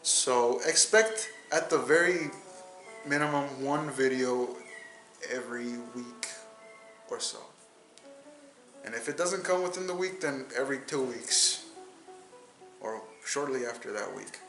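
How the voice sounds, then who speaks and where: A man's voice: medium pitch, measured pace, long pauses, a bit bright, echoey room, faint music behind, poor audio. A man in his twenties hosting a solo podcast in a casual, rambling way, repeating words and restarting his sentences. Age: 20s. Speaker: podcast host.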